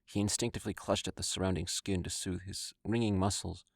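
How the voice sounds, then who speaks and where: A man's voice: sits low, little pitch movement, a little fast, creaky, slightly rough, dry recording, studio quality. A man narrating a scripted story in a dramatic style, as the narrator of an audio drama. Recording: loud music behind the voice. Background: loud dramatic music.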